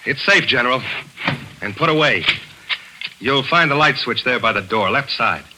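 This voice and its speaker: A man's voice: mid-range voice, animated, normal speed, sharp tone, a little hiss or hum, low-quality recording. An actor playing a cynical, hard-boiled American man in 1940s radio acting style, clipped and tough. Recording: old radio broadcast.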